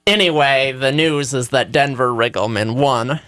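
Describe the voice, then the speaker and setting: A man's voice: medium pitch, moderate pace, fairly bright tone, smooth, no room sound, studio quality. A man co-hosting a casual radio chat, presenting news in a wry, conversational way.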